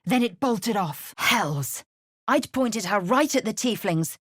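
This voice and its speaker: A woman playing a fierce, haughty alien warrior in a video game, with a husky, raspy voice and clipped, imperious, formal delivery. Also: medium pitch, animated, slow pace, dry recording, clean studio sound. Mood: imperious, scornful. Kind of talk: video game character acting.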